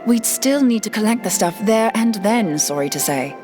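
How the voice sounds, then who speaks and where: A woman acting a young fantasy-game scholar, brisk and wryly irreverent. Her delivery swings freely in pitch while staying plain-spoken, and music plays underneath. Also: lively intonation, darkish tone, natural voice. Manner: plain-spoken, colloquial, wry.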